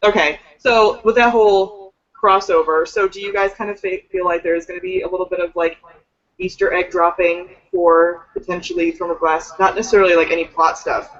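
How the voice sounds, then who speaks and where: A woman's voice: on the low side, fairly even intonation, fairly quick. A woman co-hosting a book livestream in casual chat, peppering her talk with "like" and putting questions to the others. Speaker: co-host of a book discussion livestream. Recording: dull, lo-fi livestream audio.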